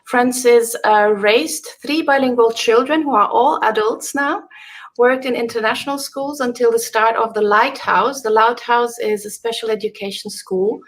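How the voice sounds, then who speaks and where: A woman's voice: on the high side, moderate pace. A woman hosting a live online panel, introducing guests and asking polite questions with some "uh" fillers. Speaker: host. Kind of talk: live video panel moderating.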